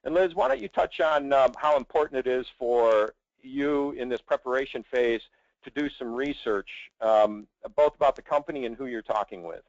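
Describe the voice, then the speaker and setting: A man's voice: mid-range voice, normal speed, no room sound. A middle-aged man, a recruiter, giving conversational career advice in an emphatic, persuasive way. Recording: dull, band-limited audio.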